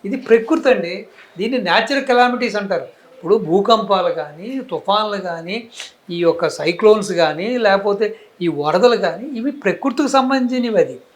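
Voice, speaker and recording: A man's voice: high voice, expressive, moderate pace. A man with a Telugu accent giving opinionated political commentary, emphatic and rhetorical, posing questions and piling up repeated phrases.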